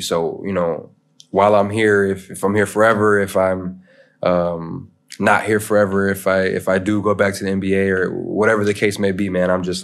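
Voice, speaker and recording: A young American man with a very deep voice that barely rises or falls, so his lines come out low and level. He talks loosely and rambles, tossing in "man" and "you know" as he goes. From United States. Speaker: interview guest, pro basketball player. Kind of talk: casual podcast chat.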